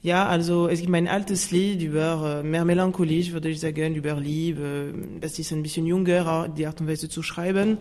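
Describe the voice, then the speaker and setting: A woman from France with a French accent, a singer-songwriter guest speaking halting German full of fillers and restarts in a radio interview. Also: low voice, fairly even intonation, a little mellow.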